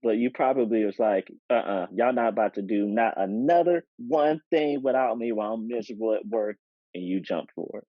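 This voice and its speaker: A man's voice: fairly low pitch, brisk, no room sound. A man teases his co-host on a casual podcast. He sits a little away from the mic, and the sound is dry and dull. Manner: teasing. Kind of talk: casual chat.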